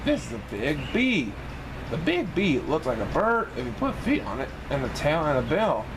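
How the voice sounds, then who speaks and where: A man reading a children's picture book aloud in an expressive, sing-song way for young listeners. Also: a little high, normal speed, background noise. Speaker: storybook reader in a banana persona.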